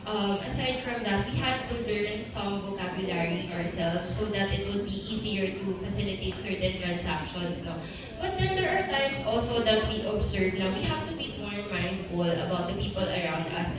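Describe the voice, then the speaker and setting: A woman from the Philippines, a university teacher, explaining her view on a panel in a measured way, mixing languages. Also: mid-range voice, noisy background. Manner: measured, explanatory, code-switching. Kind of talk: live panel discussion. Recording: echoey hall, distant mic.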